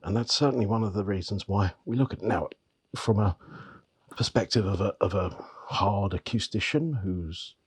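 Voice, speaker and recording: A middle-aged man, hoarse from a heavy cold, talks to camera as a magazine editor reviewing audio gear, rambling and restarting his phrases. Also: normal speed. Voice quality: gravelly, bass-heavy voice. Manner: rambling, with frequent restarts and repeated words. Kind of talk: product review talk to camera.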